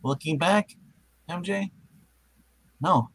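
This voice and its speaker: A man's voice: high-pitched, slow pace, fairly bright tone, slight background noise, voice pushed up. A man voicing an anxious, wisecracking young superhero in a theatrical comic book reading, given to exclamations. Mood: wry banter.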